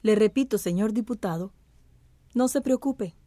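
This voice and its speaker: Actress as a mellow-voiced, calculating female mayor. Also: medium pitch, moderate pace, dry recording, lightly acted. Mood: assured. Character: female mayor, composed, calculating, loyal to power. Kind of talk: Spanish-language radio drama acting.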